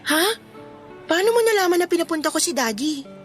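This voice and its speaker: An actress playing a considerate, candid young daughter with a Filipino accent in a radio drama. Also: female voice, extremely high pitch, expressive, brisk, soft background music. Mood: tender, curious.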